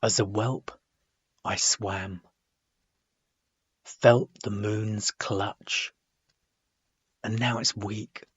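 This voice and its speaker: Husky, slow-paced man reading poetry. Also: fairly low pitch, dry recording, highs cut off. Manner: measured, deliberate phrasing with frequent pauses. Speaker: poet reading own work.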